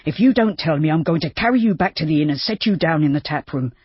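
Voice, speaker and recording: A woman reading an audiobook, voicing a steady, firm young gentleman in a muffled recording. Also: low voice, quick pace, slightly rough, no room sound.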